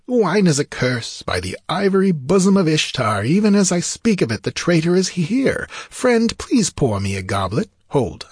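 Man voicing a suave, world-weary sorcerer, pitched high. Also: husky, no room sound, clean studio sound. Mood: weary, indulgent, then courteous, smooth. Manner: sighing, theatrical, eloquent. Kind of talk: dramatized fantasy audiobook.

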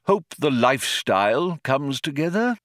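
A man's voice: mid-range voice, sing-song, measured pace, fairly bright tone, no echo, studio quality, voice pushed up. A man voicing a courteous, hesitant old craftsman, proud and easily affronted, in a storybook. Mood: cold, resigned. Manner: hesitant, courteous, indignant when slighted.

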